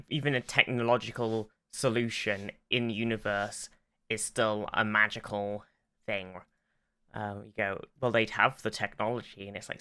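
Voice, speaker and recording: A man co-hosting a podcast, discussing in a casual style and feeling his way haltingly through his explanations. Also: on the low side, sharp tone. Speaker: podcast co-host. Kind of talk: casual pop-culture discussion.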